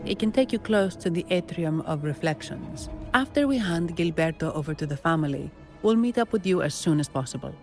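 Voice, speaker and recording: A woman's voice: low-pitched, lively intonation, a little fast, few pauses, faint music behind. An actress playing a level-headed, protective woman in a video game, decisive and matter-of-fact.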